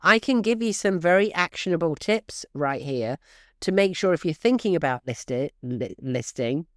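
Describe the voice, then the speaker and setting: A middle-aged woman host coaches her listeners in a brisk, emphatic way, calling them "guys". Her voice is smooth and clear underneath, though stuffy and snuffly from allergies. The recording is close and dry, with no room sound. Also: fairly low pitch, expressive, no room sound. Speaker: real estate podcast host. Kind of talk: direct-to-listener podcast advice.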